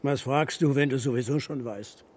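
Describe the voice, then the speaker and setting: An actor playing a gruff, stubborn old shepherd grandfather who speaks tersely and bluntly in a children's radio play. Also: mid-range voice, normal speed, darkish tone, lightly acted. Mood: defiant, dry. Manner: terse, blunt, answers with counter-questions.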